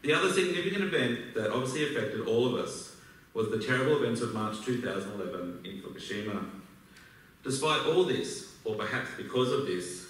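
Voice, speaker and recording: A man in his thirties with an Australian accent, giving a prepared, measured speech at a podium in a large auditorium. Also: medium pitch, fairly bright tone, recorded from a distance. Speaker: alumni speaker. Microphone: podium mic, filmed from far back in the hall. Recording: echoey hall recorded from afar.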